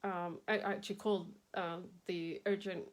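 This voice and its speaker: A woman's voice: lowish voice, level tone, moderate pace. A middle-aged woman talks to her viewers in a loose, rambling way, with a slightly nasal voice. She laughs easily and greets people by name as she hosts a craft stream. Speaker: craft livestream host. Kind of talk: casual live-stream chat.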